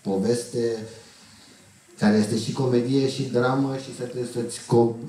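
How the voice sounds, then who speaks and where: A middle-aged man gives an informal, halting answer on a stage panel, often repeating words. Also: lowish voice, warm tone. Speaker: film crew member on panel. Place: auditorium stage, indoors. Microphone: handheld microphone held low. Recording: very echoey hall, distant.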